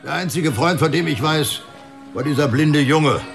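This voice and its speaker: A man's voice: on the high side, moderate pace, creaky, faint music behind. An actor in a radio drama playing a talkative, folksy cinema counter man, indignant and loyal.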